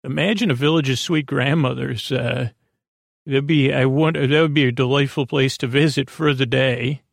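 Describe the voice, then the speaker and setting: A man with a creaky voice hosting a bedtime podcast, meandering gently and hesitantly, often interrupting himself in a soothing way. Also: mid-range voice, fairly even intonation, moderate pace, no room sound, studio quality. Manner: soothing, gentle, soft-spoken. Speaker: podcast host. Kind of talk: rambling bedtime podcast monologue.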